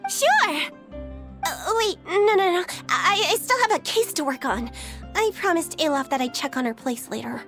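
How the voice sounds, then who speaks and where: A woman's voice: very high-pitched, animated, moderate pace, exaggerated voice. A chirpy, naive young girl detective. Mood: flustered. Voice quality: bright and girlish. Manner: chirpy, scatterbrained and easygoing. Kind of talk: video game voice acting. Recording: game audio with music behind.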